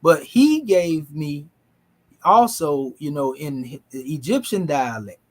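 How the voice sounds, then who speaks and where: Man, slightly high voice, loose easygoing teaching. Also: takes it slowly. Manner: relaxed, folksy, dialect grammar. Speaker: language teacher. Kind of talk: casual classroom teaching.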